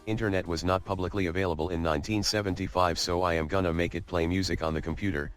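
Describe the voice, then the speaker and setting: A man's voice: low-pitched, fairly even intonation, fast, faint music behind. A man narrating a scripted comedic coding video in a jokey, mock-boastful way.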